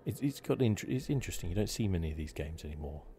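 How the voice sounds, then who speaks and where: A man giving casual ASMR commentary on a game playthrough in a hushed, rambling, musing manner. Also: sits low, lively intonation, rapid-fire, slight background noise. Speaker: gaming video host.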